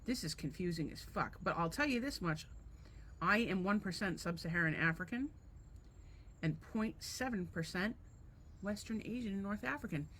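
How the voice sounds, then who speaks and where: A woman's voice: medium pitch, expressive, moderate pace, a bit bright. A woman co-hosting a casual podcast with her husband, blunt and rambling, with plenty of swearing. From Portuguese descent from the Azores. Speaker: podcast co-host. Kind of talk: casual chat.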